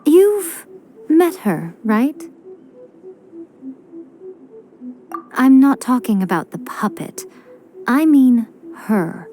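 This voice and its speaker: An actress voicing a sly, elegant shrine priestess in a video game, composed and teasing, with measured, knowing phrasing. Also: female voice, high voice, sing-song, mellow, full character voice. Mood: teasing, probing. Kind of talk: scripted video game dialogue. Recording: background music under the dialogue.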